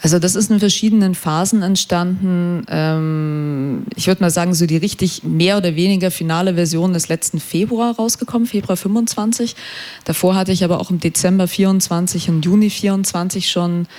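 A young man, a performing artist, answering a radio interview thoughtfully, pausing often to find his words. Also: high voice, fairly even intonation, darkish tone. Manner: thoughtful, searching for words, many fillers. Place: radio studio.

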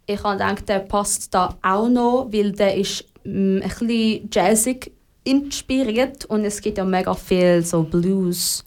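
A woman's voice: medium pitch, slow pace, a little mellow. A young woman singer-songwriter with a Swiss German accent, English her first language, explaining her song hesitantly with many fillers and English words mixed in. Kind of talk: casual radio interview.

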